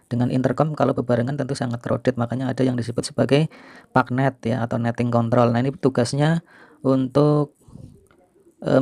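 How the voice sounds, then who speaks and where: A middle-aged man from Indonesia hosting a video, reminiscing to viewers in an informal, unhurried, explanatory way. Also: mid-range voice, gravelly, mellow, no room sound. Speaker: video host and storyteller.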